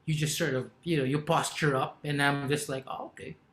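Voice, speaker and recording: A man's voice: on the high side, flat delivery, a little fast, fairly bright tone, echoey room. A man, co-hosting a podcast and telling a long story in casual chat, laughing often. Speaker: podcast co-host.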